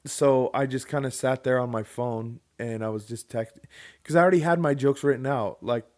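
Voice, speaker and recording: A man's voice: mid-range voice, normal speed, darkish tone. A young man co-hosting a podcast, chatting casually with a loose, joking manner and casual swearing. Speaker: podcast co-host. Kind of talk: casual chat.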